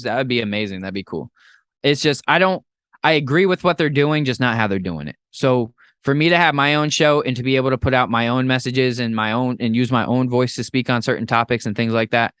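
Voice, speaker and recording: A young man hosting a podcast in casual chat, talking in an animated, rambling way with playful jumps into a high voice. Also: clear, resonant tone, dry recording, clean studio sound. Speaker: podcast host.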